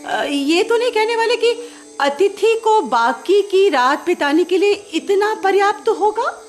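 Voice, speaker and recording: High-voiced, proud stranded traveller. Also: female voice, animated, a little slow, faint music behind. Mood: sarcastic. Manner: formal, sarcastic, argumentative. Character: proud, sharp-tongued woman traveller stranded at night. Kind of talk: radio play acting.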